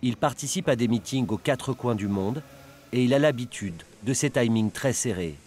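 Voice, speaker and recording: A man's voice: mid-range voice, animated, moderate pace, a little mellow. A man reading scripted documentary narration as a voice-over. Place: voice-over over location sound. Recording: faint background noise under voice-over.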